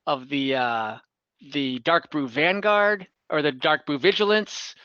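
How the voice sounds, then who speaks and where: A man acting as game master, improvising narration in a halting, chuckly way over an online call. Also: a little high, pushed and bright, clean tone, dry recording, dull audio.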